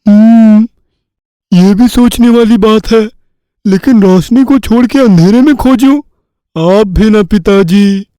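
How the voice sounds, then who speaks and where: A man voicing a foolish, cocky village boy in a Hindi cartoon story, self-satisfied and slow-witted. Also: high voice, warm tone, no room sound. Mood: pondering.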